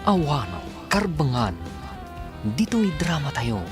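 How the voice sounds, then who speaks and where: A man's voice: on the high side, sing-song, takes it slowly. A man with an Ilocano Filipino accent announcing a radio drama in dramatic scripted narration. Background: loud music.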